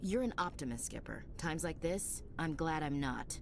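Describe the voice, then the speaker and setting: An actress playing a blunt, cynical but loyal soldier in a video game, with a sardonic manner. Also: female voice, low-pitched, expressive, moderate pace, vocal fry, a little hiss or hum. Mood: wry, cynical. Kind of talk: dramatic video game acting.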